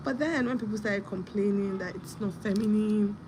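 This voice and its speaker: A young woman hosting a casual live stream, husky from a sore throat, telling a story and greeting viewers by name. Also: mid-range voice, moderate pace, noisy background.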